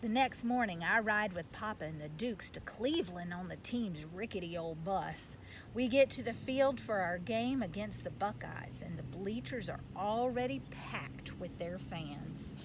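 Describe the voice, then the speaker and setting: A woman reading a children's storybook aloud in an expressive narrating style, like a school educator. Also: mid-range voice, unhurried, far from the mic, noisy background.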